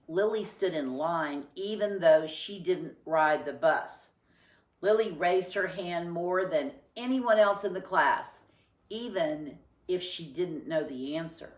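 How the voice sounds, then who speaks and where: A woman reading a children's story aloud with expressive, deliberate and emphatic phrasing. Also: on the low side, recorded from a distance. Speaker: storybook reader. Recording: roomy, echoing sound.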